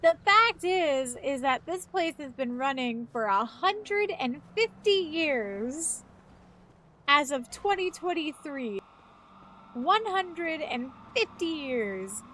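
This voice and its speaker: A woman in her thirties with a fairly high voice talks in a loose, rambling way. She swears casually and keeps her tone chatty, like a streamer talking to friends. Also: animated, takes it slowly, fairly bright tone, a little hiss or hum. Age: 30s. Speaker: live streamer. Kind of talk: casual chat.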